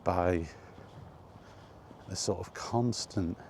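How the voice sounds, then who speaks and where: A man's voice: deep voice, extremely slow, a little mellow, slight background noise. A man rambling casually in a weary, grumbling way, as in a freewheeling solo vlog. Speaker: vlogger. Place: walking while talking, ambient noise behind.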